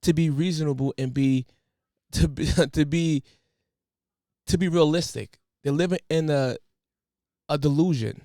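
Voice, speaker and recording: A young man from the United States hosting a podcast, ranting in an animated, preachy way with casual slang. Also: a little high, unhurried, long pauses, no room sound, studio quality. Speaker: podcast host.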